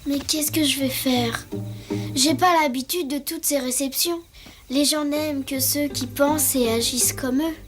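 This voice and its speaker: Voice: high voice, steady tone, unhurried. A performer voicing a young orphaned elephant in a French cartoon, childlike, polite, eager and a little anxious. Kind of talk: French-dubbed cartoon acting. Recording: music behind the voice.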